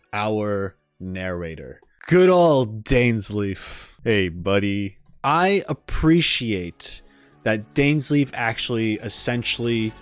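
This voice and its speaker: A man hosting a gaming reaction video, giving casual, informal and expressive commentary. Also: mid-range voice. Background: music under the voice.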